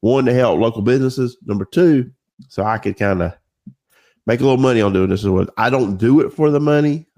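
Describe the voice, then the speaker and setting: A man's voice: mid-range voice, brisk, a little mellow, dry recording, clean studio sound. A man, congested with a cold and slightly nasal, hosting a sports podcast in a casual, conversational way. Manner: informal, conversational. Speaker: podcast host.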